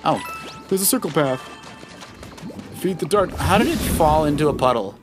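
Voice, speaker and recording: Animated man coaching through a video game. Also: fairly high pitch, normal speed. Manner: animated, coaching, addresses the player as buddy. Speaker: co-host of a family video game Let's Play. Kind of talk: casual gameplay commentary with coaching. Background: video game music.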